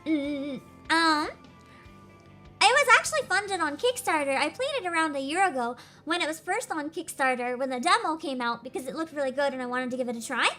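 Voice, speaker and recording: A woman's voice: high voice, animated, brisk, pushed and bright, faint music behind. A woman streamer chatting casually and giggling with her viewers, her throat congested from screaming. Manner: chatty and giggly, talks to chat. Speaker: game streamer. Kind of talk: casual live-stream chat.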